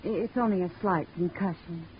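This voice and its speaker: An actress playing a tender, soothing sweetheart who coaxes and reassures, in 1940s radio drama style. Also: female voice, fairly low pitch, fairly quick, lo-fi sound, lightly acted. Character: doting, soothing sweetheart at a hospital bedside. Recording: thin, hissy vintage radio recording.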